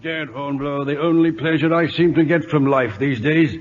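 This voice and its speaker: An actor playing a gruff, blustery older British admiral with a husky voice, in old-time radio drama style. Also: male voice, a little high, moderate pace, soft background music, close to an everyday voice. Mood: gruff, fond. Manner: blustering, emphatic. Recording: muffled old radio broadcast, reverberant.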